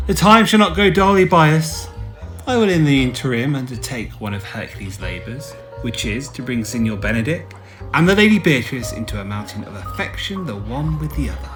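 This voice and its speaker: A male actor plays a mischievous, confident prince in a Shakespearean radio play. His theatrical voice swings widely in pitch, with plucked string music behind him. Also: expressive, a little fast, a bit bright, close to an everyday voice. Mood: scheming delight. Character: playful matchmaking prince, scheming with mischievous confidence. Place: home recording.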